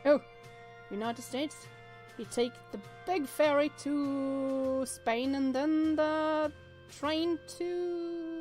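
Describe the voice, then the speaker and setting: Higher-voiced woman reading game text aloud. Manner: expressive, exclamatory, stumbles over words when reading. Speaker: host playing and reacting to a visual novel. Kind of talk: let's-play, reading game text aloud with spontaneous reactions.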